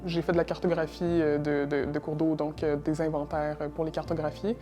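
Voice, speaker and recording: A woman's voice: sits low, level tone, brisk, soft background music. A young woman from Russia, answering interview questions about her work in a hesitant way, with many fillers and repeated words. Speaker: interview guest, environmental technician.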